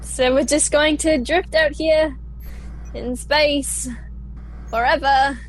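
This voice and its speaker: A woman playing an anxious, excitable young girl colonist in a sci-fi radio play. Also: high-pitched, takes it slowly, slight background noise, poor audio. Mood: doubtful, dismayed. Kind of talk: science fiction radio play acting.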